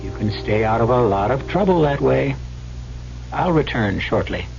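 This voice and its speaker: A man hosting a radio mystery anthology, narrating theatrically in a wry, measured way over music. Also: mid-range voice, expressive, gravelly. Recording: muffled old radio broadcast with music.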